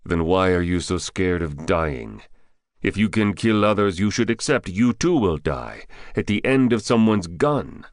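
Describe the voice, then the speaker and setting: Man playing a deep-voiced, menacing armed drug buyer. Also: lively intonation, no echo, clean studio sound. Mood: interrogating. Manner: curt, threatening, deliberate. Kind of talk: audiobook character dialogue.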